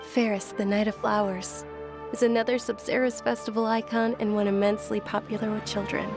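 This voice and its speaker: An actress playing a polite, knowledgeable young festival guide in a video game, explaining things with composure. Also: female voice, mid-range voice, little pitch movement, a little fast, music in the background, natural voice. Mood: informative. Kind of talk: English video game voice acting.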